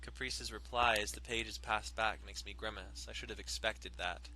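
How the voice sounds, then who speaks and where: Man, let's play host, low voice, reads game text and swears, noisy recording. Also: fairly even intonation, normal speed, sharp tone. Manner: reads game text aloud, swears and jokes between lines. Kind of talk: casual gameplay commentary and reading aloud.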